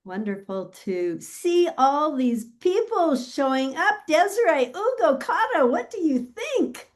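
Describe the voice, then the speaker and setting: Slow-speaking woman co-host on a call. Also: high-pitched, very animated. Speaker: co-founder and co-host. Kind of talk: welcoming event hosting.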